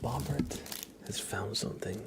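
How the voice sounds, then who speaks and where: Whispered male voice, slow delivery, playful ASMR stream host, murmured patter. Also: on the low side. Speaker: livestream host. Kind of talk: live ASMR, whispered chat with viewers.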